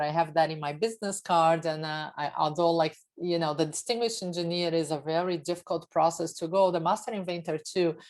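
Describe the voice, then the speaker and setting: A middle-aged woman with a Brazilian accent and native Portuguese, giving an informal, chatty talk as a guest speaker. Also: on the low side. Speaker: guest speaker, technology executive. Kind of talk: informal online presentation.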